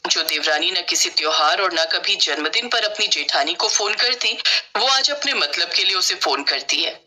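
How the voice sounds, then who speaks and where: Very bright-voiced woman storyteller. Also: on the low side, expressive, normal speed, low-quality recording. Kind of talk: scripted moral story narration.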